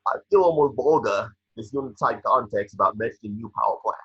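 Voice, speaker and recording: Man playing a smug, raspy business tycoon. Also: on the low side, fairly quick, fairly bright tone. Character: smug, impatient male business tycoon pitching grand plans. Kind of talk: amateur table read acting. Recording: echoey room, dull home microphone.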